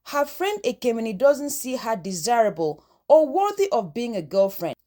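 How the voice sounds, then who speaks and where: A woman's voice: on the high side, sing-song, normal speed, warm tone. A woman narrating a scripted folktale as the storyteller.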